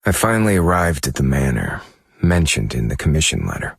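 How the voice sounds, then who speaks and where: An actor playing a brooding, troubled amnesiac novelist in a game, narrating a dramatic monologue with a gravelly, husky voice and a grave, measured manner. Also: male voice, extremely low pitch, huge pitch swings, no echo, clean studio sound, heavily acted. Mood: measured, intent.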